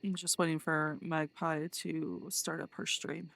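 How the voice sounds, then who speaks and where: A congested, nasal streamer with a cold, speaking in a gravelly, flat tone that barely rises or falls. The replies are short and understated, spoken close to a desk microphone. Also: medium pitch, unhurried. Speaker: roleplay streamer. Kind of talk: casual stream chat and in-character roleplay.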